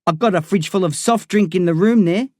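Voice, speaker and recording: A man's voice: sits high, fairly quick, darkish tone, dry recording, clean studio sound, voice pushed up, close to an everyday voice. A man voicing a volatile young drug boss who swaggers, taunts and swears, as a character in an audiobook. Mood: friendly.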